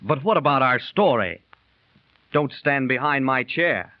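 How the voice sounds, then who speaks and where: A man's voice: medium pitch, expressive, unhurried, no echo, natural voice. A man playing a brash, eager gambler. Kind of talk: 1930s radio drama acting. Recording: muffled 1930s radio transcription.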